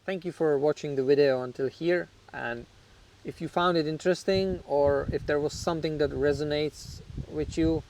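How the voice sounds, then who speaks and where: A man with a Czech accent, a native Czech speaker, hosting his own channel and talking frankly and reflectively to camera, with small grammar slips and a few soft laughs. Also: on the high side, a little hiss or hum, rough recording. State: somewhat nervous on camera. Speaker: channel host. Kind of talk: candid talk to camera about recovery.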